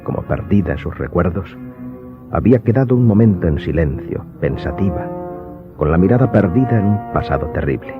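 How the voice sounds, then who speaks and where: A man's voice: deep voice, lively intonation, brisk. A man narrating a scripted dramatic audiobook. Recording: dull lo-fi audio under music.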